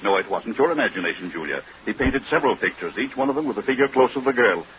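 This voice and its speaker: A man's voice: medium pitch, lively intonation, fast, natural voice. An actor playing a stern man who firmly exposes a villain, in old-time radio melodrama style. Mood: stern, accusing. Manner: firm, accusatory, explanatory. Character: stern male accuser exposing a scheming villain. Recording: muffled, lightly hissy old radio recording.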